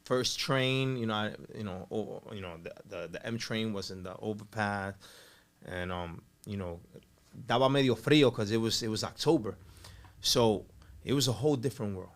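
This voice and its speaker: A man's voice: on the low side, moderate pace. A man in his forties from Puerto Rico, raised in Brooklyn, telling his story as a podcast guest in a laid-back way. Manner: laid-back, many "you know" fillers, laughs easily. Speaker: radio DJ, guest. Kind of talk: casual interview storytelling.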